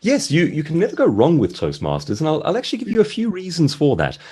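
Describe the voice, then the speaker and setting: A man giving conversational seminar advice as an author and speaker, articulate and explanatory, with measured phrasing. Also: a little high, wildly swooping pitch, warm tone, slightly rough. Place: online video-call seminar.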